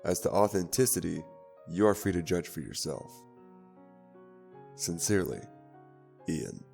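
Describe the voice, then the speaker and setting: A low-voiced male actor as a sober man presenting an eerie account in a horror story. His delivery is formal and measured, with a creaky rasp, over background music. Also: darkish tone. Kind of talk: scripted horror story narration. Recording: music under the voice.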